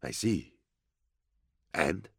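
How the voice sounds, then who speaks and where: A man voicing an amiable but menacing interrogator in an audiobook, measured, courtly and sardonic, with theatrical mockery. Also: low-pitched, animated, gravelly, fairly bright tone, breathy edge, no echo, clean studio sound, full character voice. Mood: dry mockery. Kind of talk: audiobook character acting.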